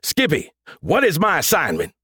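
A man voicing a boastful, easily rattled young AI missile in an audiobook, with an excitable manner. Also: on the high side, normal speed, husky, no room sound, studio quality, pitched above the performer's own voice, heavily acted. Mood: eager. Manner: excitable, stammering when scared.

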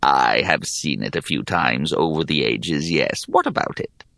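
A man voicing an ancient, sly hag in an audiobook fantasy tale, with a gravelly voice and a languid, mock-polite manner. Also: extremely low pitch, unhurried, bright, forceful tone, dry recording, clean studio sound, pitched below the performer's own voice, heavily acted. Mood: feigned indifference. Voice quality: creaky, gravelly. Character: ancient sly yawning hag, coolly dismissive. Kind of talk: audiobook character acting.

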